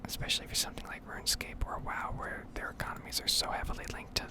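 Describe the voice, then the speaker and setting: A woman speaking in a breathy whisper, giving unhurried, meandering ASMR commentary over gameplay. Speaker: gaming ASMR video creator.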